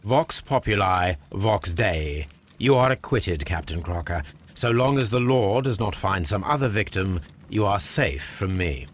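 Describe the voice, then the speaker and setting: Game casting: coolly deductive British detective of Victorian London. Low voice, deliberate formal delivery, some noise behind. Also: male voice, animated. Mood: solemn, formal. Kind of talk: dramatic video game acting.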